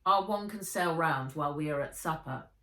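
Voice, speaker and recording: A commanding male guardian from an adventure storybook, acted by a woman reader. The voice sits slightly low, in an echoing room at some distance from the microphone. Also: normal speed, fairly bright tone. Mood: businesslike. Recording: roomy echo, distant from the mic.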